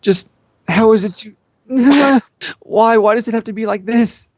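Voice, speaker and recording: Overwrought lovesick young woman, played by a man, high voice, stammering pleas, amateur fan fiction reading, dull sound. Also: measured pace, dry recording. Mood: anguished, apologetic. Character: anguished, pleading young woman in love.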